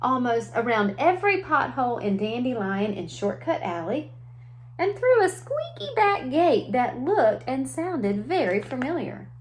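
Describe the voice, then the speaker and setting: A woman's voice: high-pitched, big pitch swings, a little slow, slight background noise. A woman preschool teacher reading a story aloud to young children, adding simple explanations and questions. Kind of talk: children's read-aloud with asides.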